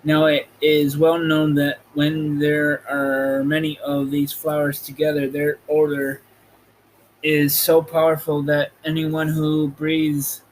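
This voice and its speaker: Slow-paced man reading a storybook. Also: fairly high pitch, completely flat delivery, a little hiss or hum, rough recording. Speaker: podcast host reading an audiobook chapter. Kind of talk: amateur storybook narration, read aloud.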